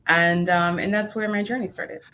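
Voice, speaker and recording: A woman's voice: fairly low pitch, fairly even intonation, moderate pace, a bit bright. A middle-aged woman from Haiti with a light Haitian accent, chatting as an interview guest, full of fillers and little laughs, acting out quotes as she tells her story over a video call. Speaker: guest, arts nonprofit director. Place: home room, video call.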